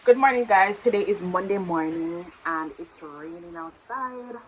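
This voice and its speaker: Jamaican woman in her twenties, lowish voice, chatty vlog host, faint background hiss. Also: animated, a little slow, poor audio. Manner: chatty and warm with her viewers, swings loud when playing with her baby. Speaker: vlogger. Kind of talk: casual vlog talk to camera. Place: home in the morning, indoors.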